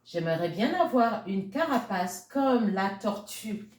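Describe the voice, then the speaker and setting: A woman voicing a small, wistful picture-book chameleon in a wishful, drawn-out way. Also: mid-range voice, big pitch swings, darkish tone. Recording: roomy, echoey sound.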